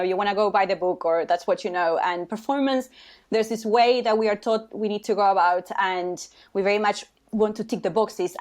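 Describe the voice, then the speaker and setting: Woman, Uruguayan Spanish accent, mid pitch, reflective conversational podcast guest. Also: a little mellow. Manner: reflective, conversational, with fillers and restarts. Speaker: guest, HR consultant. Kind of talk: podcast interview.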